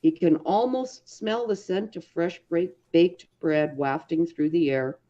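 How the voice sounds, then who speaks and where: A woman narrates a story in a dark, soft-edged voice. Her reading is expressive and deliberate, with dramatic phrasing. The recording is somewhat dull and lo-fi. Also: on the low side. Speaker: audiobook reader.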